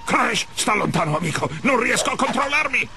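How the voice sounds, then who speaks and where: A man voicing a big, dim but good-hearted mutant animal in an animated game, blustering and excitable. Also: extremely high pitch, a little fast, gravelly, loud music behind the voice, full character voice. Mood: frantic. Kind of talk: animated game cutscene dub.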